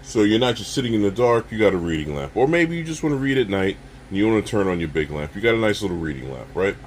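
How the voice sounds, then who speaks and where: Low-voiced man giving a casual gadget demo. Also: very animated, slight background noise. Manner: halting, fillers, addresses viewers as guys. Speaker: product reviewer. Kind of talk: casual product demonstration.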